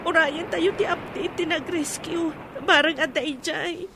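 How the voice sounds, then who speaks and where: A woman's voice: very high voice, steady tone, unhurried, bright, forceful tone, heavily acted. A woman playing an earnest young Ilocano woman. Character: caring young village woman searching for news. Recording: clear crowd noise behind.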